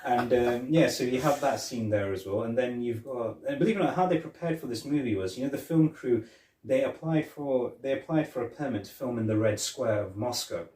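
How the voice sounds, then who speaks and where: A man's voice: mid-range voice, a little fast, warm tone, recorded from a distance. A man co-hosting a casual movie review podcast. He rambles, restarting and repeating his words. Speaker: podcast co-host. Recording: echoey room, off-mic.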